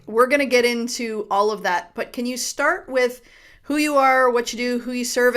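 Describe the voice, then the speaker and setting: A woman hosting a podcast interview, welcoming her guest warmly and reacting with effusive encouragement. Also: mid-range voice. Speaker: podcast host.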